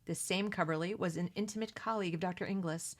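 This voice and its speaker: American woman, middle-aged, slightly deep voice, opinionated, emphatic, conversational, unscripted read-along commentary, frequent asides. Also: fast. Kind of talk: unscripted commentary mixed with reading aloud from a book.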